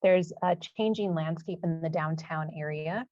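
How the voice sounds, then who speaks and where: A woman's voice: sits low, unhurried, dark tone, no echo, highs cut off. A woman, an associate program director, giving a friendly webinar talk. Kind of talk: webinar presentation. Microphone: video call.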